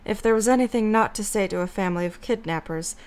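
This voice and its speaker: A woman's voice: medium pitch, fast, a little mellow, smooth. A woman reading scripted story narration as the narrator of an audio drama.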